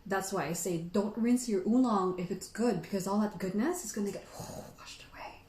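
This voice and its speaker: A woman host telling stories casually to livestream viewers, animated and rambling, peppering her sentences with "like". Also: medium pitch, normal speed. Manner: animated, rambling, giggly, frequent "like". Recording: echoey room.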